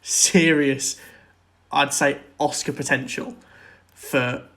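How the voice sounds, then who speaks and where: A man's voice: a little high, fairly even intonation, slow pace, a bit bright. A man co-hosting a podcast, casually discussing film and TV in an earnest, opinionated way. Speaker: podcast co-host.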